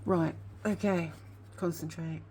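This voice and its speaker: A woman's voice: lowish voice, steady tone, takes it slowly, warm tone, a little hiss or hum. A woman chatting casually as a craft vlogger, rambling on with a slightly whiny voice because of a sore tooth. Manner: chatty, rambling, admits to waffling. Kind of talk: casual craft show-and-tell chat.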